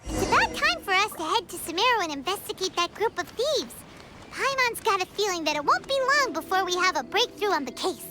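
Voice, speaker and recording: A woman voicing a tiny, excitable childlike girl companion in an anime video game, perky and squeaky. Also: fairly quick. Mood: eager. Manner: perky, exclaiming, refers to self by name. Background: game music.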